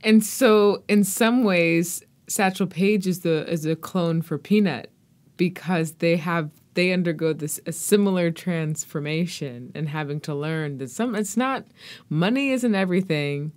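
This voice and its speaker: A woman's voice: lowish voice, unhurried, a little mellow. A woman hosting a podcast, talking through a book in a conversational interview style.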